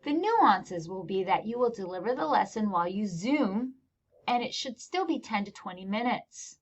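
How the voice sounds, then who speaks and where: A woman's voice: mid-range voice, lively intonation, normal speed. A woman with an American accent presents scripted instructional narration as a teacher trainer, explaining things plainly with informal touches. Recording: dull lo-fi voice-over recording.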